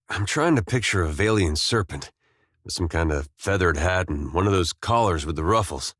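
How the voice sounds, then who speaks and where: Game companion: easygoing farmer turned adventurer, fairly deep, deadpan folksy banter, dry studio sound. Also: male voice, dry recording. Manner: laid-back, deadpan, folksy drawl phrasing. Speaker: video game companion character.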